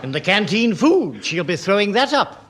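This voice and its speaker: A man's voice: high voice, sing-song, moderate pace, noisy background. A man playing a timid, fussy junior civil servant, hesitant and plaintive, with an English accent in a British radio sitcom. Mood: concerned.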